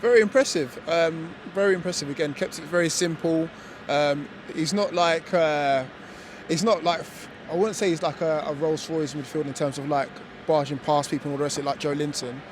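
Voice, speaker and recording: A man's voice: on the high side, a little fast. A man giving chatty football opinions outdoors, full of fillers and tag questions. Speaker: fan pundit. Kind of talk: casual football chat. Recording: outdoor traffic noise.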